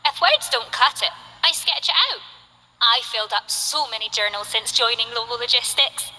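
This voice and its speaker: A woman's voice: a little high, moderate pace, pushed and bright, rough recording. A woman voicing a cheerful, chatty young female delivery courier in a video game. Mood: upbeat. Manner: bubbly, chatty, eager.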